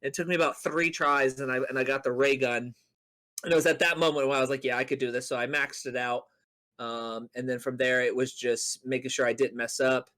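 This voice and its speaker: A man's voice: medium pitch, steady tone, fairly quick, sharp tone. A man chatting casually as a podcast co-host, telling stories in a rambling way with playful mock boasting. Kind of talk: casual chat on a gaming podcast.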